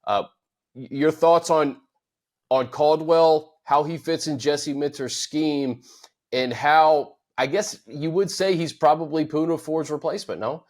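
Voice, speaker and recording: A man hosting a football podcast, speaking conversationally with halting restarts as he sets up questions for his co-host. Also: a little high. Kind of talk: casual football podcast chat.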